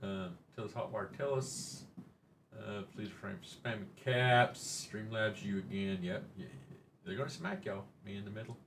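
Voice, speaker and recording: A man's voice: lowish voice, normal speed, creaky, a bit bright, a little hiss or hum. A middle-aged man, hosting a rambling, joking, mildly profane live-stream chat. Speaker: livestream host.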